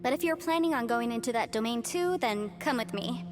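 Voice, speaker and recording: Woman acting young female lawyer adventurer, high pitch, chatty, earnest, playful, articulate, video game style, clear background music. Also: expressive, brisk, close to an everyday voice. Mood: friendly, hopeful.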